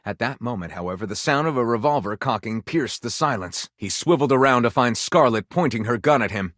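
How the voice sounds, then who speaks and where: A grandiose storyteller from a video game, swooping dramatically through lurid, absurd melodrama. The delivery is highly animated and suggestive, with big swings in pitch, recorded close and very dry. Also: male voice, fairly quick, no room sound. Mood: melodramatic, gleeful. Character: theatrical, salacious storyteller narrating absurd melodrama. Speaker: game character voice actor. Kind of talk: dramatic scripted narration.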